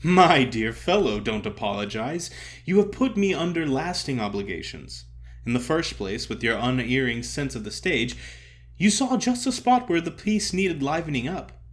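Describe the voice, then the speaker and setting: Guy doing a gushy theatrical older comedian type, grand emphatic flattery, really animated pitch, audio drama. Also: close to an everyday voice. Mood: grateful, pleased. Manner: emphatic, drawn-out address, grand pronouncements.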